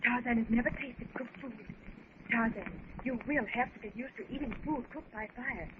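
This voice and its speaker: An actress playing a gentle, coaxing young lady in a 1930s radio adventure serial. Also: fairly high pitch, moderate pace, phone-quality sound, natural voice. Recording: thin, very noisy 1930s radio recording.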